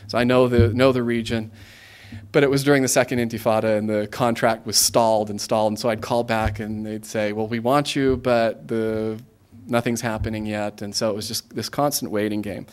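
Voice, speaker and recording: An American man in his thirties speaks informally, as a guest addressing students. His voice sits a little low, and his delivery is conversational and halting, with frequent self-interruptions and restarts. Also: fairly even intonation, smooth. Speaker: guest speaker, government aid regional coordinator. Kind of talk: informal career talk to students.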